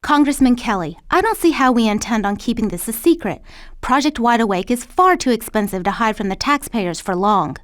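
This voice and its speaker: Female official, slightly high voice, formal, brisk, game meeting scene. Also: expressive, a bit bright, no room sound, clean studio sound. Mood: concerned.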